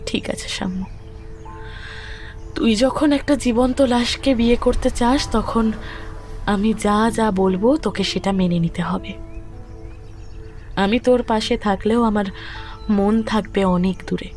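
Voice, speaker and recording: A woman with a Kolkata Bengali accent playing a grieving, resolute young widowed mother in an audio story, speaking firmly and deliberately. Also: medium pitch, fairly even intonation, darkish tone, close to an everyday voice. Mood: cold, resolute. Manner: solemn, deliberate, firm. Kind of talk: romantic audio drama dialogue. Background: background music.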